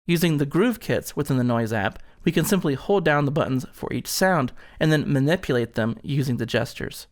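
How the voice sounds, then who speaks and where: A man's voice: fairly high pitch, animated, normal speed, background noise. A man presenting an instructional product demonstration, explaining things step by step.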